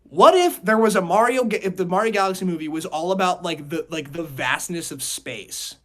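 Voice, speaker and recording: Guy on a podcast, voice a bit high, rambly casual opinion talk, says like a lot. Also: expressive, a little fast. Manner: rambling, hedging with frequent 'like'. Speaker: podcast co-host. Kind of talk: casual opinionated chat about movies and games.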